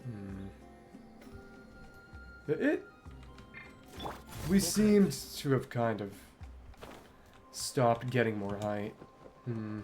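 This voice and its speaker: A man giving casual solo commentary as a game streamer, musing aloud in short, halting remarks. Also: medium pitch, expressive, darkish tone, soft background music.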